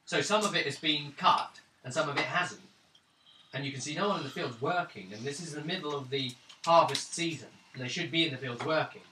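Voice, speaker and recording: A male game master narrates at a table in halting phrases. His mid-pitched voice sits back from the mic in an echoey room, with clear background noise. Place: indoors around a gaming table. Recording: roomy, distant mic, clearly noisy.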